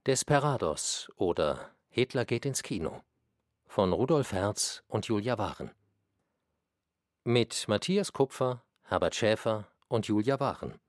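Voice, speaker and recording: A man's voice: on the low side, expressive, a little slow, no echo, clean studio sound. A man delivering scripted dramatic narration for a radio documentary, with emphatic, pointed phrasing.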